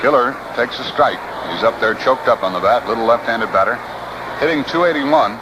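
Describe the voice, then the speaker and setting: A man's voice: mid-range voice, fairly quick, dull audio. A man with an American accent calling baseball play-by-play as live radio sports commentary on a 1960s broadcast. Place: outdoor ballpark broadcast booth. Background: steady noise and outdoor ambience.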